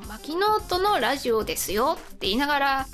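A woman's voice: sits high, sing-song, fairly quick, fairly bright tone, soft background music. A woman hosting a casual radio chat, often laughing as she talks. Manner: giggly, often laughing while talking. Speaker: radio show host.

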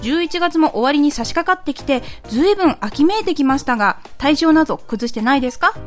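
抑揚豊かな高い声の女性. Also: female voice, high-pitched, expressive, fast talker, faint music behind. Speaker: radio show co-host. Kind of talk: reading listener messages and chatting on a hobby internet radio show.

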